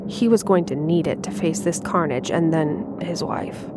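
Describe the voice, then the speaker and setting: A woman writer reading her own horror story aloud in a measured, suspenseful way. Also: deep voice, heavy background noise. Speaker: writer and narrator. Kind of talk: scripted horror story narration. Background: music.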